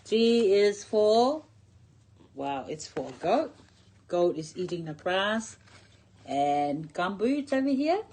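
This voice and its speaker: Slow-paced woman reading to a child. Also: medium pitch, sing-song, darkish tone, a little hiss or hum. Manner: simple short phrases, draws out key words, points things out with questions. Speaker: storyteller reading to a young child. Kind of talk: picture-book read-aloud for a young child.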